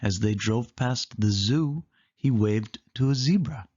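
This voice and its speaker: A storyteller reading a children's book aloud in an animated way, lingering on listed words. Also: mid-range voice, measured pace, breathy edge, lo-fi sound.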